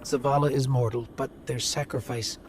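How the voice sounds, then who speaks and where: An actor playing a small, dutiful robotic companion spirit in a video game, measured, sincere and reflective. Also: male voice, medium pitch, fairly even intonation, darkish tone, lightly acted. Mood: solemn admiration. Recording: noisy game ambience behind voice.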